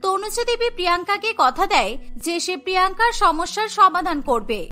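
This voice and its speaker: A woman's voice: very high voice, very animated, a little fast, pushed and bright. A woman narrating a scripted cartoon story. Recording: music behind the voice. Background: music.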